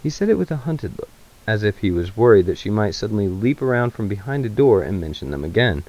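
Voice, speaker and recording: A man's voice: fairly low pitch, sing-song, fast talker, darkish tone, highs cut off. A man reading a story aloud as narrator, in a dramatic literary style. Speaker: narrator, reader.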